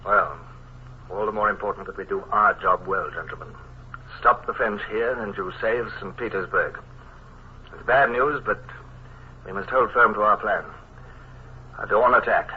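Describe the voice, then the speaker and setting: A commanding naval commodore gives orders and narrates in an authoritative, even voice, played by a man. The sound is narrow and phone-like, with a faint hiss under it, as in a vintage radio serial. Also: lowish voice, moderate pace, long pauses, close to an everyday voice. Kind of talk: 1940s radio acting. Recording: tinny old radio broadcast.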